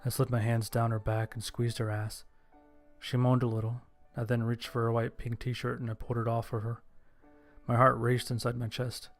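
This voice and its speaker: A man reading a scripted first-person story as narrator, in a measured, understated way. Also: medium pitch, monotone. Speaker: narrator and voice actor. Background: background music.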